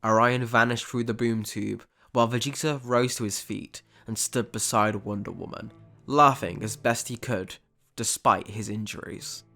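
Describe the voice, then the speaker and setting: A man's voice: on the low side, fairly even intonation, moderate pace, vocal fry, sharp tone. A man narrating a scripted, dramatic action story. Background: music.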